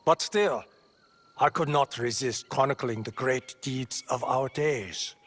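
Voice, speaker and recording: Game role: courteous old-world scribe, husky, medium pitch, formal and earnest. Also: male voice, takes it slowly. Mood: courteous, admiring. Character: courteous, scholarly warrior turned scribe of feudal Japan.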